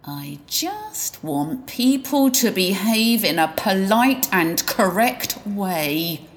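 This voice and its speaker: A slow, prim, self-satisfied killer, played by a woman who savours each sentence. Her pitch rises and falls a lot while she gloats. She sounds righteous and smug. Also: sing-song. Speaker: narrator. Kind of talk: amateur audio drama acting.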